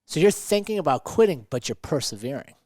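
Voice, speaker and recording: A man's voice: fairly high pitch, big pitch swings, fairly quick, a little mellow, dry recording. A man co-hosting a motivational podcast, talking emphatically and often checking in with rhetorical questions. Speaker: podcast co-host.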